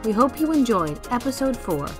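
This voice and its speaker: A woman announcer reads scripted credits in a fairly high, lively voice. Music plays clearly behind her. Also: moderate pace.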